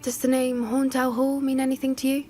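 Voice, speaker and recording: An actress playing an earnest young witch student who cares for creatures and talks quickly and confidingly. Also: high voice, level tone, darkish tone, faint music behind, close to an everyday voice. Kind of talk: video game voice acting.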